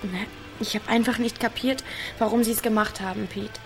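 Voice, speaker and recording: A woman's voice: medium pitch, fairly even intonation, normal speed, music in the background, close to an everyday voice. A woman playing an uneasy, reserved teenage village girl in a naturalistic radio drama, giving terse, hesitant replies. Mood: uneasy, defensive.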